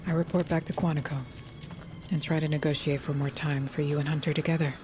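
A woman's voice: deep voice, little pitch movement, fast talker, lightly acted. A woman playing a pragmatic, protective government agent in an audio drama. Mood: resigned, grave. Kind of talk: audio fiction acting. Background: music.

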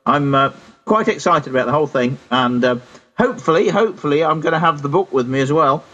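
British man, slightly high voice, chummy and teasing, casual radio chat, co-host. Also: animated, fairly quick, a bit bright, highs cut off. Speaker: paranormal radio show co-host and author.

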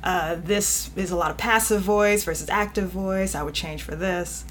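A woman guest, an editor, talking casually in an interview, animated and often laughing, with many "you know" fillers. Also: on the low side, moderate pace, slight background noise. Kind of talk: conversational interview.

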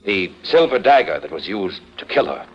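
An actor playing a bitter, self-assured widowed husband, speaking with formal insistence in a 1940s radio drama. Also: male voice, lowish voice, big pitch swings, normal speed. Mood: explaining, composed. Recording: muffled vintage 1940s radio recording.

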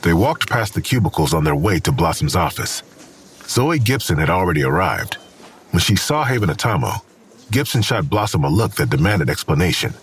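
A man's voice: low voice, big pitch swings, normal speed, a little hiss or hum. A man reading scripted third-person narration for an audio drama.

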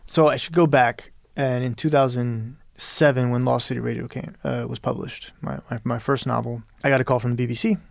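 A man's voice: mid-range voice, fairly even intonation, brisk, creaky, hardly any pauses, dry recording, studio quality. A man from Peru talking as an interview guest, rambling with many restarts and "you know". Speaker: interview guest, novelist and radio producer. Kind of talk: casual interview conversation.

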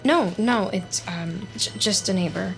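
A woman's voice: mid-range voice, vocal fry, fairly bright tone, faint music behind, low-quality recording. An actress playing a lonely, nosy, awkward woman who works as a data analyst, in a scripted audio drama. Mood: awkward, evasive. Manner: awkward, halting, wry, muttering to herself. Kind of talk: scripted audio drama, dialogue and inner monologue.

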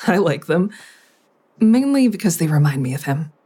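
An actress playing a plain-spoken, wounded grown daughter in naturalistic audio drama dialogue. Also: female voice, lowish voice, sing-song, normal speed, warm tone, husky. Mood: wistful. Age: adult.

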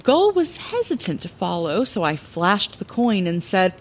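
A woman playing Zellera, a scrappy, chatty lighthouse keeper, telling a fantasy audio drama bedtime story with hesitant, expressive asides. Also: mid-range voice, measured pace, a little hiss or hum. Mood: storytelling, playful.

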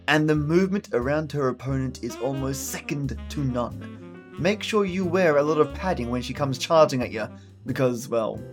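A man hosting a podcast, playful and effusive, mixing chatty asides with scripted storytelling. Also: mid-range voice. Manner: playful, effusive, mildly profane. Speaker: podcast host. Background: music, plucked guitar.

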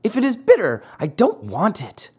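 A narrator voicing a whiny, stubborn little wooden boy marionette in a high, squeaky, childlike storybook voice. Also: huge pitch swings, normal speed, husky, exaggerated voice. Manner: whining, childlike, pleading.

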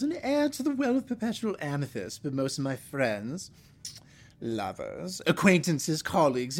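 Pompous flirty alien royal for a sci-fi audio drama, super theatrical, voice all over the place. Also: male voice, a little high. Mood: grand, cheerful. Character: flamboyant, vain, flirtatious deposed alien prince. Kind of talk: audio fiction acting.

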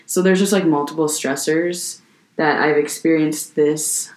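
A young woman co-hosting a podcast in casual chat, slightly hoarse from a sore throat, chatty and full of "like". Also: deep voice, steady tone, unhurried, a little mellow. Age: young adult. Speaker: podcast co-host. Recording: echoey room.

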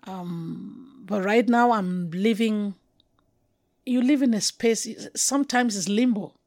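A middle-aged Cameroonian woman speaks in a reflective, halting way, often restarting and drawing out key words. Her voice sits in an ordinary middle range. The recording is close and dry, with no room sound. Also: mid-range voice, a little mellow, no room sound. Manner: reflective, halting, with restarts and slow emphatic stretches. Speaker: guest poet. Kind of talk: conversational interview.

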